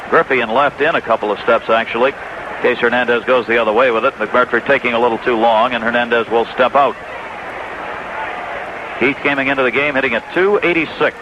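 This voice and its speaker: An American man giving live play-by-play baseball commentary as a radio announcer. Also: medium pitch. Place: ballpark broadcast booth. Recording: dull, noisy 1980s radio broadcast.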